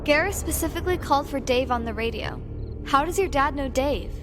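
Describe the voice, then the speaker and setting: Eager, high-voiced young crewmate. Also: female voice, expressive, over music. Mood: urgent. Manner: eager, quick to react. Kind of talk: audio drama acting.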